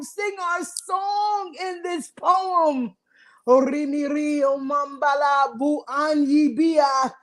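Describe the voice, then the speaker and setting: A woman performing a spoken word poem, deliberate and building to impassioned cries. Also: high voice.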